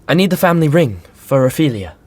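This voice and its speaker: A man's voice: on the high side, very animated, fast talker, a little mellow, husky. A man playing a stressed, sarcastic young prince in a modern audio drama, dryly delivered and stammering when flustered. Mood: dry, awkward. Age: young adult.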